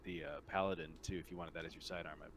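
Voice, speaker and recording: Hesitant low-voiced man, faint noise behind. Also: little pitch movement, rapid-fire. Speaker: game master. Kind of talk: casual game table talk. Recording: noisy room ambience on recording.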